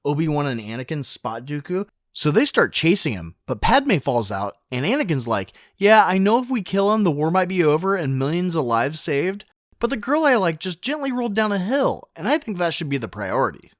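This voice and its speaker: Wry, lively man narrating a comic recap. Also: fairly high pitch, fairly quick, no echo, studio quality. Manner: wry, sarcastic. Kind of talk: casual, joking scripted narration.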